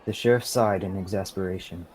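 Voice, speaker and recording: Young man, scripted radio drama narration, somewhat low, warm dark tone, faint music. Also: brisk, a faint crowd. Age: young adult.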